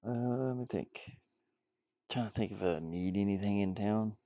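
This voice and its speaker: Deep male voice, crackly fry, offhand rambling commentary, playful self-talk, repeated words. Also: moderate pace, no echo, phone-quality sound. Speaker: indie game developer streaming. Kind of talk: casual rambling commentary while drawing pixel art.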